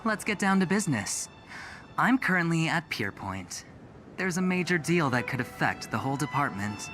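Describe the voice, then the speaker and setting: An actor playing a smug, smooth-talking young executive with a gambler's temper in a video game, teasing and theatrical. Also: male voice, a little high, sing-song, normal speed, bright, forceful tone, faint music behind. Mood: self-satisfied. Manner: teasing, theatrical, self-satisfied.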